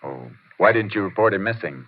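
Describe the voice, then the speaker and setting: An American man acting a steady, laconic Texas Ranger in an old radio drama, courteous but determined, with clipped matter-of-fact delivery. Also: on the low side, brisk. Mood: gentle, firm. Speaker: lead actor and narrator. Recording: muffled old radio recording with music.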